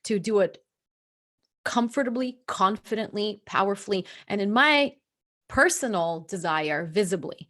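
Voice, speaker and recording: A woman from Russia, a native Russian speaker working as a mindset coach, talks to live viewers in an unscripted, confiding and earnest way, halting at times and stretching words for emphasis. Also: mid-range voice, a bit bright.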